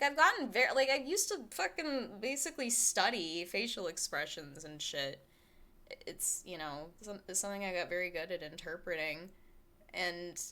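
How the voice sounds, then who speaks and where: A woman streamer thinks out loud to her audience, her voice bright and light with little bass behind it. She swears easily, circles back on her thoughts and laughs through her sentences. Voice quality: small light voice without much bass. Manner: candid, profane, rambling, laughs often. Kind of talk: casual chat with stream viewers.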